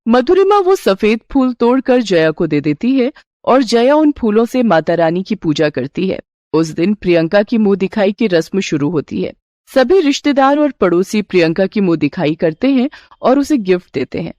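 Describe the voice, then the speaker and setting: A woman's voice: mid-range voice, big pitch swings, moderate pace, darkish tone, no echo. A woman narrating a scripted Hindi moral bedtime story as a storyteller.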